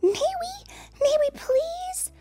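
A woman's voice: takes it slowly, mellow. A woman voicing an eager, sweet little girl bear cub in a children's storybook. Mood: pleading. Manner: pleading, squeaky.

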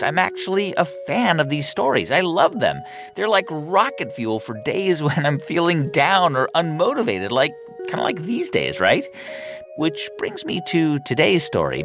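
A man's voice: fairly high pitch, gravelly. Earnest male podcast host over music. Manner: earnest, reflective storytelling with emphatic rises. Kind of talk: scripted podcast narration and interview.

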